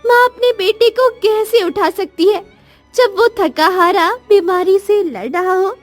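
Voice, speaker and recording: A woman's voice: very high-pitched, a little slow, soft background music. A woman voicing Mother Nature, a stern yet motherly goddess, in a cartoon moral story with admonishing, maternal authority. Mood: solemn, consoling. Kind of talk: dramatic Hindi cartoon story dialogue.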